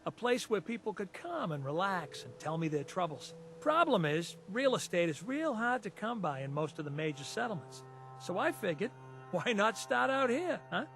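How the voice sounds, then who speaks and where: A man's voice: sits high, sing-song, moderate pace, a bit bright, soft background music, full character voice. An actor playing a friendly, folksy male bar keeper chatting conversationally in a video game. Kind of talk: video game dialogue acting.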